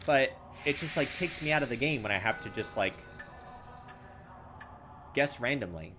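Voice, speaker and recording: A man's voice: mid-range voice, expressive, moderate pace, music in the background. A man playing a horror visual novel, reading its text aloud and thinking aloud with restarts, mildly exasperated at trial and error. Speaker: let's play host. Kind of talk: casual game commentary, reading text aloud.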